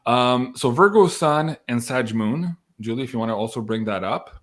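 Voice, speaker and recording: A man hosting a live online show in an upbeat, excitable, casual way, reading out viewer questions and announcements. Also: medium pitch, normal speed.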